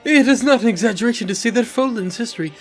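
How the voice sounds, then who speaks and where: A man's voice: very high voice, fast, soft background music. A man playing a pompous young nobleman in a fantasy game, speaking in a haughty, formal, grandiloquent way. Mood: proud, defiant. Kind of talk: dramatic fantasy game acting.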